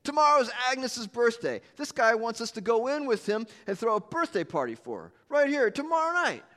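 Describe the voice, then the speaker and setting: A man voicing a gruff, chubby diner cook who talks loudly and bluntly, in a hall with long reverb. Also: on the high side, big pitch swings, moderate pace. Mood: excited. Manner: gruff, loud, calls out.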